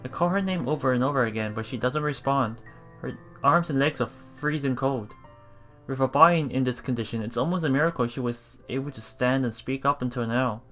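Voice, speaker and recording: A man's voice: medium pitch, fairly quick, a bit bright. Man reading visual novel narration over music. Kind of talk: dramatic reading of visual novel text with live reactions.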